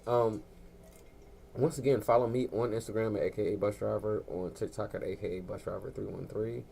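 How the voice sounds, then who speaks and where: Low gravelly male radio host. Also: slight background noise. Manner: relaxed, slangy, drawn-out fillers. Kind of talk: casual laid-back show chat.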